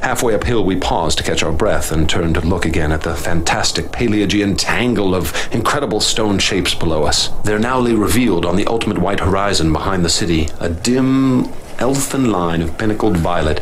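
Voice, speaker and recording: A man's voice: low voice, fairly quick, a little hiss or hum, rough recording. A man narrating a radio drama as a professor, tense and dramatic, sometimes stumbling over his words. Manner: tense and dramatic, with stammered restarts. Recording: music playing under the voice.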